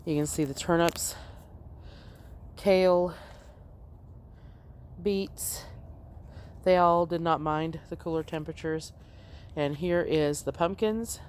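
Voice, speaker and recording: A woman hosting a casual outdoor garden walk-through, slightly congested while getting over an illness, talking in an unhurried, plain way with frequent ums. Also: deep voice, darkish tone, smooth.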